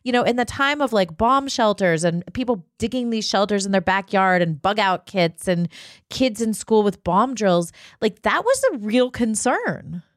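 A woman's voice: mid-range voice, expressive, moderate pace, dry recording, studio quality. A woman co-hosting a podcast, talking in a casual, conversational way. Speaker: podcast guest co-host.

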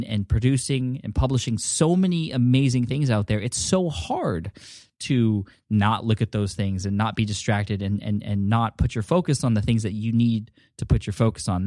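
Friendly podcast host, dry close sound. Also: male voice, on the low side, a little mellow, no echo. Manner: friendly, rambling, frequent restarts. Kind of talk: casual solo podcast talk.

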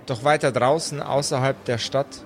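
A man hosting as game master, telling a story in an atmospheric, unhurried narrator's style. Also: mid-range voice, fairly even intonation, slight background noise. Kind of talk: slow atmospheric scripted narration.

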